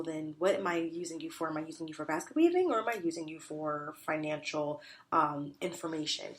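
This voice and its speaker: A woman hosting a solo business podcast, nasal and congested from a cold, coaching listeners with an emphatic, repetitive delivery. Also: low-pitched.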